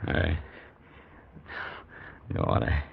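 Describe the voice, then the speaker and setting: An actor in an old-time radio drama playing an exhausted, stubborn, dutiful police sergeant, speaking in halting, broken phrases. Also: male voice, natural voice. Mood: tense, careful. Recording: dull, noisy old radio recording.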